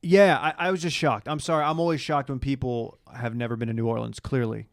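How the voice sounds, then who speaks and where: A man from Dallas talks as a podcast co-host in casual, conversational banter. His voice sits at a middling pitch, recorded close and dry. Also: fairly even intonation, fairly quick, creaky, dry recording. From Dallas, Texas. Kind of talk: casual banter recapping reality TV.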